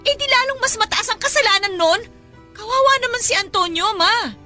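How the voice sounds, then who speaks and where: An actress playing a hurt, defiant young mistress in an emotional Tagalog audio drama, pleading and confrontational. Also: female voice, very high voice, animated, fairly quick, bright, forceful tone, soft background music, full character voice. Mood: upset, protesting.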